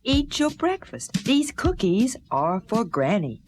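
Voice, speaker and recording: A woman plays a storybook mother, caring yet firm. She gives careful instructions slowly, with lively rises and falls, over faint background noise. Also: fairly high pitch. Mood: gently firm. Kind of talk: children's musical storybook acting.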